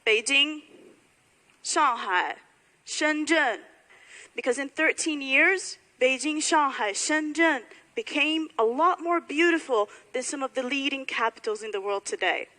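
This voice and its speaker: A woman's voice: sits high, animated, a little slow, sharp tone. A woman from Somalia giving a public speech to graduates, speaking emphatically and building points through rhetorical repetition. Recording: hall reverb, faint music.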